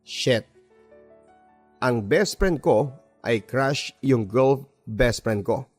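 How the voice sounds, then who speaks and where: Man, Filipino accent, mid-pitched voice, expressive radio drama narrator, scripted love story, music behind. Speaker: radio drama narrator reading a listener's letter. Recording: voice over background music.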